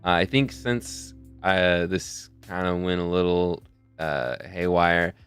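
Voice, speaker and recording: A man's voice: low-pitched, takes it slowly, fairly bright tone, faint music behind. A young man hosting a charity live stream in a casual, rambling way, often losing his train of thought. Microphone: desk mic close to a face camera.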